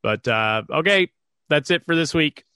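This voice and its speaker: A man's voice: fairly high pitch, lively intonation, moderate pace, bright, forceful tone, clean tone, no echo, clean studio sound. A stuffed-up man hosting a casual sports podcast, rambling with lots of fillers. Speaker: podcast host.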